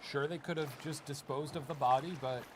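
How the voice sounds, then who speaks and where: A man co-hosting a podcast in playful, animated casual banter. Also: on the high side, brisk, background noise. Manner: animated, mock-outraged, playful. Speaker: podcast co-host.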